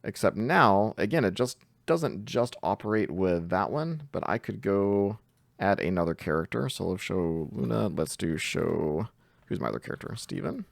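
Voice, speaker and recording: A man's voice: on the low side, expressive, normal speed, creaky, dry recording. A man giving a casual coding tutorial, explaining things step by step in an informal way. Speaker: programming tutor.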